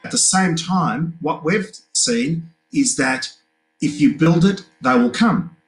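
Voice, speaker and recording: A man, speaking in a measured, formal way on an online panel over a video call. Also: a little high, animated, low-quality recording. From Australia. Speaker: community leader. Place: office with banners.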